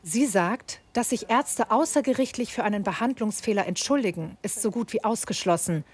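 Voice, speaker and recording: A woman's voice: mid-range voice, animated, moderate pace, breathy edge. A woman reporter reading scripted narration for a documentary news report.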